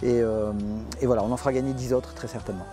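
A man's voice: mid-range voice, fairly even intonation, fast, over music. An older man hosting a camper van vlog, talking outdoors by a motorhome on a lapel mic, with many hesitations. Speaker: camper van channel host. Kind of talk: casual vlog presenting and interviewing. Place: outdoors by a motorhome at a trade show car park.

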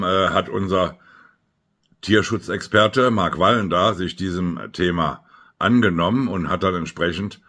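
A man giving informal political commentary, halting and stretching words for emphasis. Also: low voice, fairly bright tone. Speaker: politician hosting a weekly video update. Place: small room at home by a window, indoors.

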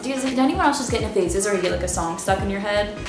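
A woman's voice: mid-range voice, fast. A woman from Maryland rambling casually to camera in a vlog, full of "like" fillers. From Baltimore area, Maryland. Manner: rambling, filler-heavy "like" talk. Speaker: vlogger. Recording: roomy echo with music behind.

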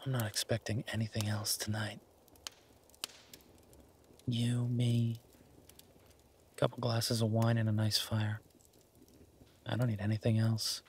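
A man playing an affectionate, laid-back werewolf boyfriend in intimate roleplay audio, gentle and halting, with a husky, breathy voice. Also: on the low side, completely flat delivery, slight background noise. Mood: tender, content. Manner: gentle, halting, murmured with long pauses. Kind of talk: intimate roleplay audio, slow soft conversational acting.

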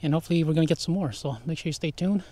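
A man's voice: a little high, steady tone, racing, mellow. A man chatting casually as host of a fishing vlog, easygoing and encouraging. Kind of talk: casual outdoor vlog chat. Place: frozen lake and ice fishing tent.

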